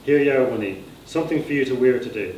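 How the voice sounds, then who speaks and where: A man's voice: mid-range voice, fast talker, a little mellow, close to an everyday voice. A man with an Irish accent voicing a welcoming, insistent country father in a story, coaxing and folksy. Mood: gentle. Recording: echoey room, distant mic, faint noise.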